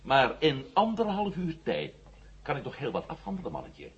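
An actor playing a defiant armed space hero, mocking and menacing with false friendliness, in a vintage science-fiction radio drama. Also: male voice, medium pitch, wildly swooping pitch, normal speed, husky, heavily acted. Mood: sardonic, threatening. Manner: sardonic, menacing, mock-friendly. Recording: slightly noisy, dull old radio recording.

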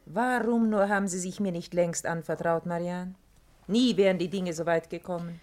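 A woman's voice: lowish voice, fairly even intonation, moderate pace, darkish tone, slight background noise, close to an everyday voice. An actress playing a shrewd, worldly matchmaker woman in a radio comedy, theatrical and persuasive. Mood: concerned. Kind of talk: classic German radio comedy acting.

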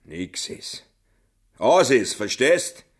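A man's voice: mid-range voice, very animated, very slow, breathy edge, heavily acted. An actor playing a blunt, grumbling village woodsman in a radio drama, speaking rustic Austrian dialect. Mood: bleak, insistent.

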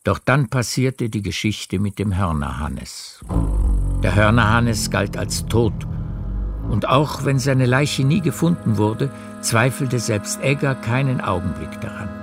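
A man narrating a literary radio drama with measured, deliberate phrasing. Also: fairly low pitch, animated, gravelly, over music.

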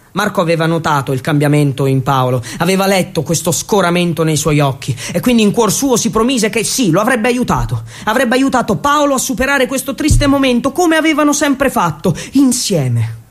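High-pitched man, theatrical storyteller. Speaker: actor-narrator.

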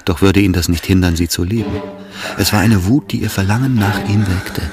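Low-voiced male narrator over prominent music. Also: brisk. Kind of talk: literary narration in a radio drama.

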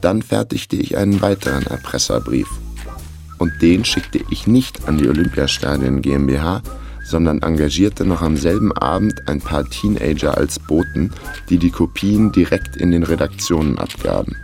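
Low, gravelly, laconic private investigator. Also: male voice, expressive, normal speed, darkish tone, faint music behind, heavily acted. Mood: dry, matter-of-fact. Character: sly, weary small-time private investigator narrating his case. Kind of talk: German radio crime drama, first-person narration and dialogue.

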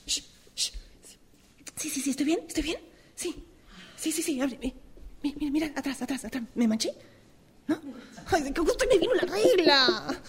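A woman improvising a one-sided dialogue as a jittery, excited young woman, nervous and giggly, repeating her words. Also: high-pitched, slight background noise. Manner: breathlessly repetitive, giggly, nervous. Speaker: radio drama workshop participant.

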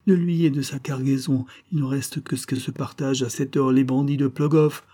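A man's voice: medium pitch, steady tone, fairly quick, mellow, voice pushed up, natural voice. A man voicing a shipwrecked young sailor in a read-aloud story, telling of the wreck in an urgent, pleading voice. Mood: desperate.

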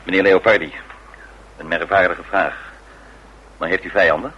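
Very deep-voiced, measured hotel detective. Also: male voice, steady tone, pushed and bright. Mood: polite, probing. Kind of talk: Dutch radio play acting. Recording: muffled, slightly noisy radio recording.

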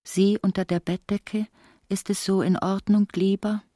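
A woman's voice: medium pitch, level tone, measured pace, dark tone, no echo, studio quality, natural voice. An actress playing a middle-aged woman prisoner in an intimate radio play, tender, theatrical and wryly self-mocking. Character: imprisoned ailing woman of forty-eight, tender, self-mocking, longing.

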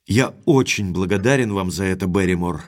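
An actor playing an earnest young gentleman heir in an audio drama, direct, courteous and inquisitive, with quiet authority. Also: deep voice, a little fast, natural voice.

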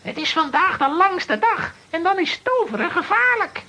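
A woman's voice: very high-pitched, sing-song, normal speed, bright, forceful tone, full character voice. A woman playing an anxious, fretful cartoon character with a Flemish accent in a children's audio drama. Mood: alarmed.

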